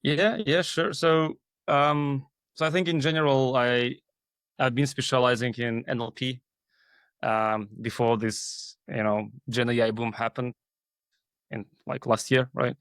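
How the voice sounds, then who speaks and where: A man from Kazakhstan talks as a guest in an interview. His mid-pitched voice is recorded dry, and he explains things in a halting, conversational way. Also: little pitch movement, fairly bright tone, smooth, no room sound. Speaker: guest, AI team leader. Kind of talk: interview talk.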